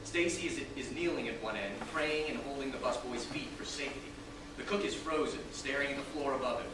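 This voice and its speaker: A man's voice: medium pitch, a little fast. A man reading scripted scene directions as narrator, recorded at a distance in a very echoey, noisy room.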